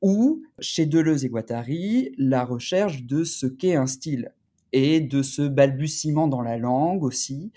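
A man speaking as an academic researcher in an interview, explaining in a deliberate, halting, scholarly way. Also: fairly high pitch, mellow.

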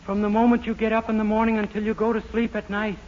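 Man playing a high-voiced, naive radio sitcom lad. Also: level tone, fast, darkish tone, close to an everyday voice. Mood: innocent, chipper. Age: young adult. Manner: guileless, literal-minded. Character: naive chipper young man playing pranks. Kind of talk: 1940s radio sitcom acting. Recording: noisy, muffled 1940s radio recording.

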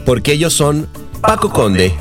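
A man's voice: fairly high pitch, big pitch swings, unhurried. Man emceeing over music. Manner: showy, playful emcee. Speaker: podcast host. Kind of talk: scripted voice-over introduction.

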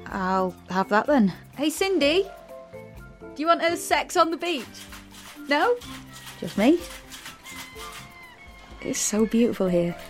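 A naive, emotional teenage girl in a sci-fi audio drama. Her voice sits fairly high and swings widely in pitch, with music playing clearly behind her. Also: sing-song, takes it slowly, long pauses, full character voice. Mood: tipsy-free, playful. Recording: music under the voice.

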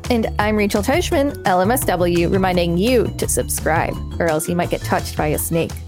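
A woman's voice: fairly low pitch, moderate pace, music in the background. A woman co-hosting a podcast, reading encyclopedia text aloud with casual comments. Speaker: podcast co-host.

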